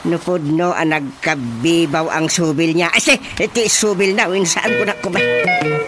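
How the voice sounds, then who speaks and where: A man's voice: on the high side, big pitch swings, normal speed, over loud music. An actor playing a flustered, easily amused village chairman in a comic radio drama, stammering and exclaiming with an Ilocano accent. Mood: gossipy. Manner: stammering, sputtering, full of exclamations.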